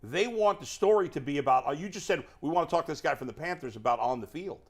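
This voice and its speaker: A male sports panelist with a fairly high-pitched voice. He talks in a plainspoken, opinionated way, laying out his take in conversation. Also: expressive, quick pace.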